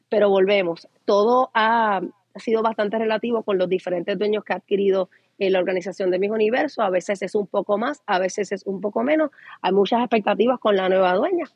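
A husky Puerto Rican woman who explains in a measured way, pausing on "eh" fillers. The audio is dry and slightly dull, lo-fi. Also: mid-range voice, a little mellow, no echo. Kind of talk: casual talk-show chat.

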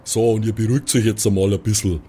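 Man playing a deep, folksy Bavarian-accented strongman. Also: fairly even intonation, dark tone, slightly rough. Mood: stern, unimpressed. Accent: southern German-Austrian Bavarian. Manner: folksy, unhurried, hesitant. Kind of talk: improvised tabletop roleplay audio play.

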